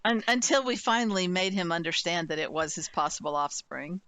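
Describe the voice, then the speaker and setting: A woman's voice: fairly low pitch, expressive, a little fast, crowd noise. A woman player chatting about a tabletop game, laughing often and repeating herself for emphasis.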